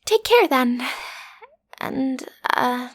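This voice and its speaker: A woman's voice: sits high, animated, very slow, fairly bright tone, full character voice. A woman playing a cute, easily flustered teenage villain's daughter in an audio roleplay, stammering earnestly as she tries to seem intimidating. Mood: awkward, earnest.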